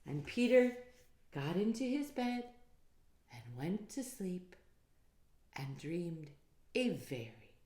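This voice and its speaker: Expressive woman storyteller in an echoey room. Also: on the low side, takes it slowly, pauses often. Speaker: storyteller reading a children's book aloud. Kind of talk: animated read-aloud storytelling for children. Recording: roomy, echoey sound.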